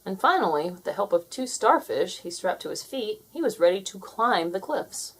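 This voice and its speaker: Husky, animated woman storyteller. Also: medium pitch, moderate pace, rough recording. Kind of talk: expressive children's read-aloud narration.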